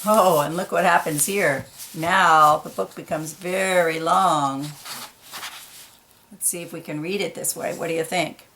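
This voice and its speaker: Slow, gentle woman reading a picture book. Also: lowish voice, fairly even intonation. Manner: slow, gentle, pointing out pictures in quiet asides. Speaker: children's book reader.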